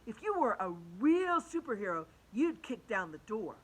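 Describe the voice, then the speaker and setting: A woman reading an audiobook voices an excitable schoolboy who speaks loudly. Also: fairly high pitch, normal speed, poor audio. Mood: excited. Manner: loud and excited.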